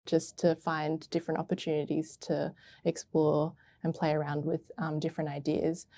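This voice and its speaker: A woman intern answering interview questions in a casual, hesitant and thoughtful way, with many pauses and fillers. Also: low-pitched, flat delivery, warm tone, no echo. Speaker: arts intern.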